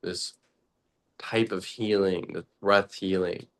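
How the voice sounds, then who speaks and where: A man leading a guided meditation talk as a teacher, speaking deliberately in short, halting phrases with long pauses. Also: deep voice, steady tone, a bit bright.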